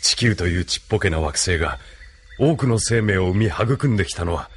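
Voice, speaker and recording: 低く威厳ある軍の大佐. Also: male voice, low voice, animated, a little hiss or hum. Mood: grandly reflective. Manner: measured, authoritative. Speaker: voice actor. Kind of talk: anime audio drama acting.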